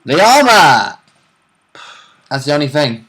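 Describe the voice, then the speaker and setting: A man's voice: on the high side, big pitch swings, slow pace, sharp tone. A man co-commentating on a tabletop role-play vidcast, chiming in eagerly with casual banter about rules and fighters.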